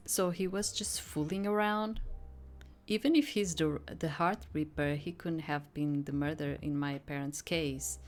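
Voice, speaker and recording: A woman game streamer reading a visual novel aloud and commenting on it in a casual, chatty way. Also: on the low side. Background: game music.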